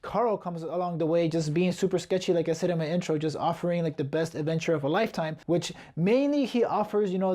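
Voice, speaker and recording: A man's voice: a little high, dark tone. A young man from Ecuador, a movie reviewer talking through a film in a loose, chatty way full of filler words. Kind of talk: casual video review.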